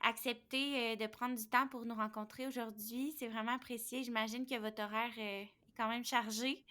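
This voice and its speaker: A woman's voice: on the high side, steady tone, normal speed, bright, forceful tone. A woman hosting a podcast and politely asking interview questions with fillers. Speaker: podcast host.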